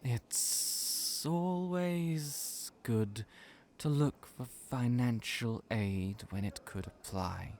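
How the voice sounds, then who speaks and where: A man voicing a shy, gentle snake hybrid cafe worker in an audio roleplay, halting and hesitant, with drawn-out hissing s sounds. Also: mid-range voice. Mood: measured.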